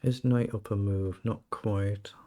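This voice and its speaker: A British man with a slightly croaky voice, casually commentating his own chess game and thinking aloud. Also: fairly low pitch, steady tone, takes it slowly, warm tone. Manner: thinking aloud, self-questioning. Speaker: chess streamer.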